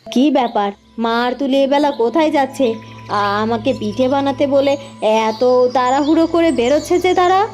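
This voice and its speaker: A woman voicing a meek, dutiful young village wife in a cartoon, gentle and apologetic. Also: high-pitched, fairly quick, soft background music, natural voice. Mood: puzzled. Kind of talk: cartoon fairy-tale voice acting.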